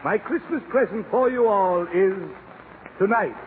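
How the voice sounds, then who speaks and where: A pompous ham of a poet declaims in a high, grandiose voice. It is a 1940s radio comedy turn, on a tinny broadcast with clear background noise. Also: male voice, a little slow. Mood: pompous, jaunty.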